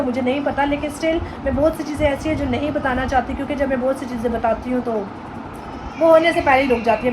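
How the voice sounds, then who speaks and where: High-pitched young Pakistani woman vlogging. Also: noisy background. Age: 20s. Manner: chatty, mixing in English phrases and playful Punjabi. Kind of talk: casual vlog Q&A chat.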